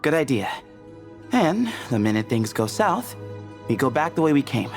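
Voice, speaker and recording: A man's voice: medium pitch, sing-song, normal speed, faint music behind. An actor playing an easygoing, wry young male adventurer in an English game dub. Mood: practical.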